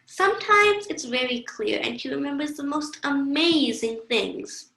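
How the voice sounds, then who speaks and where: A young-sounding woman playing a patient, kindly caregiver in an amateur radio play, speaking gently and reassuringly. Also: sits high, very animated, a little slow, gravelly, roomy sound, exaggerated voice, low-quality recording. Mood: calm, reassuring.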